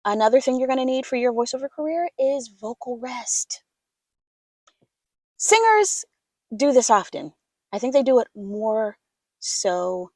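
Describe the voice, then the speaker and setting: A soft-spoken woman giving casual advice as a voiceover coach, chatty and easygoing. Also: a little high, dry recording. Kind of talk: casual instructional talk to camera.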